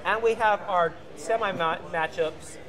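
A man calling a card game tournament with a slightly high voice and an easygoing, conversational manner. He throws questions to his co-host as he goes. A lively crowd chatters clearly behind him. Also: unhurried, rough recording. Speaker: tournament commentator. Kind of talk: casual play-by-play commentary. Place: card shop event.

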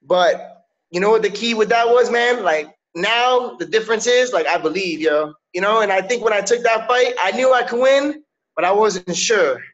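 High-voiced fighter with street slang. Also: male voice, normal speed, fairly bright tone. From Miami. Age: 30s. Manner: streetwise slang, frequent "yo" and "you know what I'm saying". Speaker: MMA fighter, guest. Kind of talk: casual interview over video call. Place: indoors, small room. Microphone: video call webcam. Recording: slightly dull lo-fi call audio.

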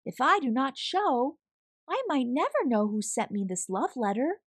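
A woman's voice: high voice, sing-song, normal speed, no echo, clean studio sound. A woman voicing an earnest storybook hedgehog, uncertain, curious and insistent. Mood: wistful.

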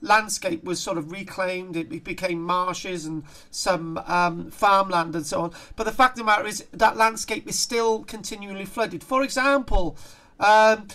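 Chatty, halting South Welsh male lecturer. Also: high-pitched, fairly even intonation, bright, forceful tone. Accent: South Wales. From Barry, south Wales. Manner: chatty, jokey, digressive, halting. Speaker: archaeology lecturer. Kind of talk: informal online lecture, reading aloud and commenting. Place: indoor room, on a group video call.

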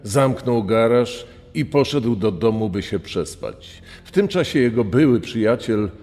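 Male narrator for scripted crime storytelling, mellow dark tone, long reverb tail. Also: medium pitch, animated, unhurried, soft background music.

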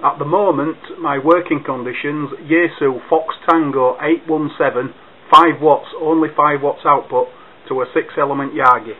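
A man's voice: fairly high pitch, fairly even intonation, moderate pace. A man working as an amateur radio operator, talking in clipped procedural phrases and phonetic call signs during a radio contact. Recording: narrow tinny sound with faint hiss.

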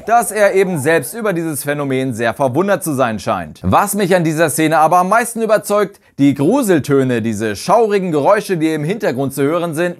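Animated man presenting a scripted ranking. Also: fairly high pitch. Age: 30s. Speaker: host of a radio-play fan channel. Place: small indoor home studio. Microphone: lapel mic. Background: music.

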